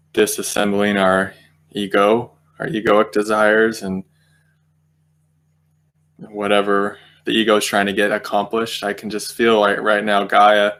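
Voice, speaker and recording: A man leading an unscripted guided meditation, speaking gently and slowly, with long pauses as he feels his way through each thought. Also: on the low side.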